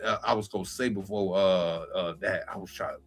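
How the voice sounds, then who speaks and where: A man's voice: sits low, steady tone, fairly quick, breathy edge. A man co-hosting a casual talk stream, speaking in slang with trailing fillers. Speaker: co-host, novice comedian. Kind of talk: casual talk-show chat.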